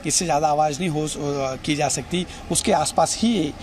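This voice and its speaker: A man's voice: on the high side, normal speed. A man reporting news on location outdoors, explaining things as he goes, with city traffic noise around him. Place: outdoors in busy city streets. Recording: noisy outdoor street ambience, traffic. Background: urban street noise and vehicles.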